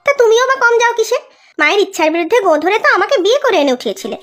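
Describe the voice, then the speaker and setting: A brisk, chiding young cartoon mother, with a very high, animated voice. She coaxes and complains quickly in the manner of a children's village tale. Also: soft background music. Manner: coaxing, complaining. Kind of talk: Bengali children's cartoon acting.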